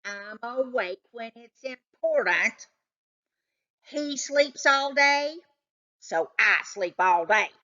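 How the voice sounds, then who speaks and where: A woman's voice: fairly high pitch, animated, takes it slowly, bright, forceful tone, highs cut off, heavily acted. A woman reading a children's storybook, voicing a grumpy, suspicious, bookish male cat in a snappish, insistent manner. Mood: defensive. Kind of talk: children's storybook read aloud.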